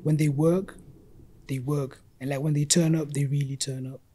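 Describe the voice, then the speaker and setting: A man's voice: fairly high pitch, little pitch movement, normal speed, dark tone, husky. A man from Zimbabwe, a guest in a casual chat, talking in a laid-back, slangy way.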